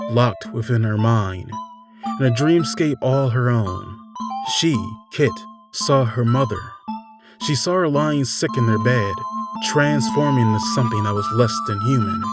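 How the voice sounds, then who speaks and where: Male storyteller, mid-pitched voice, measured dramatic narration, hushed drops, background music.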